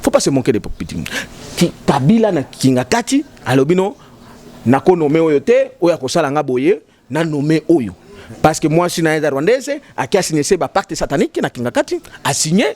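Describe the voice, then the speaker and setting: A man's voice: on the high side, big pitch swings, a little fast, a little mellow. A man in a heated political debate, talking emphatically and repeating himself, mixing French with Lingala. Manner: emphatic, repetitive, switching between French and Lingala. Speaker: political commentator.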